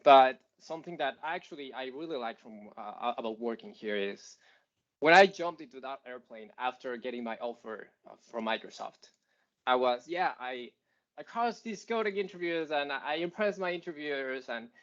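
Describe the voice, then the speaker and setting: A man's voice: medium pitch, animated, normal speed, fairly bright tone, dull audio. A man from Mexico City with a Mexican accent, a panelist talking casually over a video call, rambling with many fillers and restarts. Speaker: panelist, software engineer.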